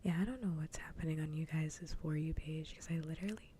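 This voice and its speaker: A woman livestreamer chatting casually in a soft ASMR style, mostly in a whisper, close to the microphone. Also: fast talker. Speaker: livestreamer host. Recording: faint background noise, low rumble.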